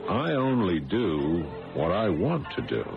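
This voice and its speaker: A confident male charmer in an old radio play, casual and coaxing. His voice sits on the low side and moves with lively intonation. The recording is a dull lo-fi broadcast. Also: measured pace, gravelly, music in the background, full character voice. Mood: confident, teasing. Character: smooth-talking, confident, flirtatious male charmer. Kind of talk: vintage-style radio drama acting.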